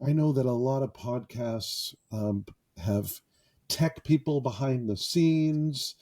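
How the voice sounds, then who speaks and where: Brief: male podcast host, self-deprecating and jokey, unhurried delivery, very dark tone. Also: medium pitch. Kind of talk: improvised casual podcast monologue. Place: small closet padded with sound foam.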